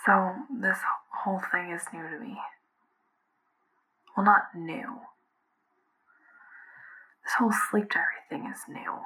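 An actress playing an anxious, haunted young woman confiding in a diary in halting whispers, in an audio drama. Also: fairly low pitch, fairly even intonation, poor audio. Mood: hushed, uneasy. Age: young adult. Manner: halting, hushed, breathy whispering. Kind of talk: found-footage audio drama monologue.

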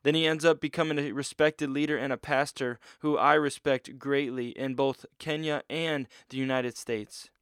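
A man hosting a faith podcast, moving from an upbeat scripted intro to relaxed questions full of small fillers. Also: medium pitch, normal speed, hardly any pauses, clean tone, dry recording, clean studio sound. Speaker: podcast host and pastor. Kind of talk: interview podcast.

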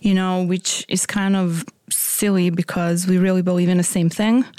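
A woman's voice: on the low side, level tone, moderate pace, warm tone, dry recording, clean studio sound. A woman in her forties from Croatia, speaking English with a Croatian accent, giving a reflective personal testimony in an interview.

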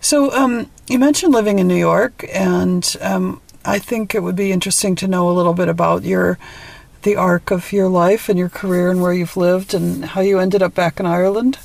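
A woman's voice: lowish voice, fairly even intonation, moderate pace, vocal fry, darkish tone, no room sound. A woman talking casually as a podcast interviewer.

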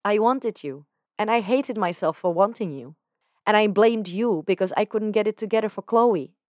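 A woman's voice: medium pitch, moderate pace, darkish tone, no echo, clean studio sound, voice pushed up, close to an everyday voice. A woman voicing an emotional young man who talks in an earnest, candid way with casual slang. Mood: confessional. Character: young man, emotional and confessional, self-doubting.

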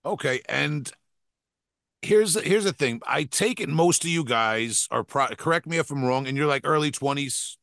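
A man's voice: fairly high pitch, moderate pace, creaky, fairly bright tone, no room sound, studio quality. A man in his forties hosting a casual interview, asking rambling questions with many restarts. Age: 40s. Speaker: podcast host.

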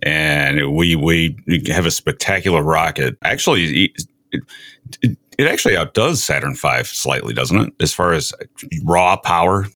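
Middle aged guy w really really low voice, interviewing someone, measured and a bit halting. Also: animated, bright, forceful tone, no room sound. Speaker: interview show host. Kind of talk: conversational space interview.

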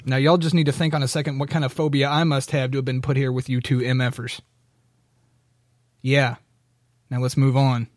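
A man trading dry, deadpan quips in casual podcast banter. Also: mid-range voice, moderate pace, pauses often, no room sound. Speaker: podcast panelist.

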